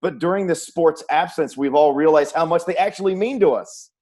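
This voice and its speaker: A man's voice: a little high, fairly quick, darkish tone. A man hosting a sports interview show, speaking as an announcer in conversation with a guest. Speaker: host, play-by-play sports announcer.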